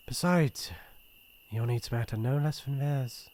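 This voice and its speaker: A man with a British accent playing a shy, earnest young crown prince in an ASMR roleplay, speaking in formal, hesitant, gentle phrases. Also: medium pitch, measured pace, lightly acted. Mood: tender concern. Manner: formal courtly phrasing, hesitant and soft-hearted.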